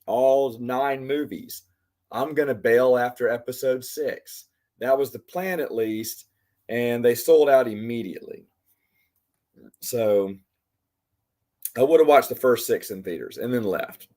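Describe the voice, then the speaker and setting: Host, male, 40s, voice going hoarse, rambling and chatty, mid-pitched. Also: a little mellow. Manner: rambling, chatty, talks to commenters by name. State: losing his voice. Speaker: livestream host. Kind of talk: casual live Q&A chat.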